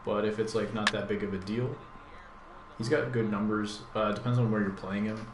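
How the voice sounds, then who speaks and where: A man with a deep voice talks over his own gameplay as a channel host, thinking aloud in a relaxed, rambling way. His voice sits low, and the room around him is echoey. Steady background noise runs under everything he says. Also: fairly quick. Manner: thinks aloud, laid-back, rambling with fillers. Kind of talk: casual live gameplay commentary.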